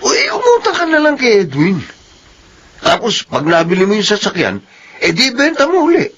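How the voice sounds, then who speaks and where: Lo-fi audio with faint noise carries a slick Filipino car hustler. His high voice swoops up and down extremely as he coaxes, in radio drama style. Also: wildly swooping pitch, normal speed, fairly bright tone, breathy edge, full character voice. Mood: coaxing. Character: slick, coaxing male hustler selling dodgy cars. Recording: dull, noisy lo-fi radio audio.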